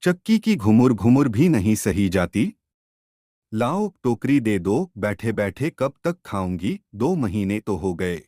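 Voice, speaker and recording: Man reading as stubborn young village bride, unhurried. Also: fairly low pitch, very animated, mellow, no echo, clean studio sound. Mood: defiant. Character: defiant, restless young village bride, junior co-wife. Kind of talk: storybook character dialogue.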